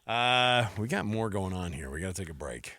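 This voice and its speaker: A man's voice: low-pitched, big pitch swings, moderate pace, bright, forceful tone, no room sound, clean studio sound. A man hosting a sports talk radio show, chatting casually and riffing jokingly in a rambling way.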